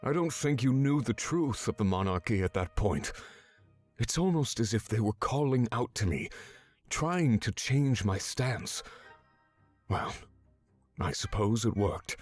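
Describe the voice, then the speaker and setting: A man playing a grave, remorseful animal warrior mentor in a video game, speaking in a solemn, measured, lecturing way. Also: on the low side, animated, darkish tone, soft background music. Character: grave, remorseful male furry warrior mentor, stern and guarded. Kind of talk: scripted video game character acting.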